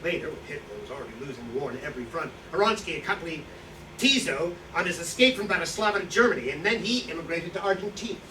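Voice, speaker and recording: A man in a staged reading playing a boastful, defensive, hard-drinking old writer, rambling and halting as he justifies himself. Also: a little high, lively intonation, lightly acted. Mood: nostalgic, animated. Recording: distant echoey room, noisy draft recording.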